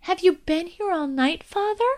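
A woman's voice: very high-pitched, normal speed, pitched above the performer's own voice. A woman voicing a clever, cheerful young princess who tells riddles and jokes eagerly. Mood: gentle. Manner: eager, bright riddling questions.

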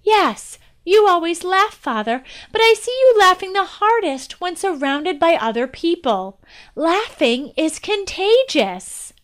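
A woman's voice: very high voice, sing-song, takes it slowly, voice pushed up, full character voice. A woman voicing a clever, cheerful young princess who tells riddles and jokes eagerly. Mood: earnest. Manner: eager, bright riddling questions.

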